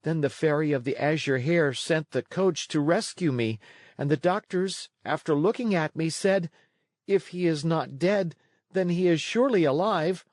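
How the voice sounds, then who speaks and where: A man's voice: fairly high pitch, normal speed, a little mellow, no echo, clean studio sound, close to an everyday voice. A man voicing an eager, earnest and plucky wooden puppet boy in a storybook read-along. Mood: breathless, rueful.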